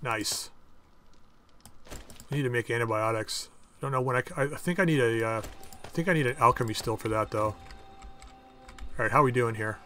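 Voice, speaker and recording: A man's voice: medium pitch, brisk, long pauses, sharp tone, a little hiss or hum. Chatty man thinking aloud while gaming. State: losing his voice. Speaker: gaming channel host. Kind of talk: casual let's-play commentary.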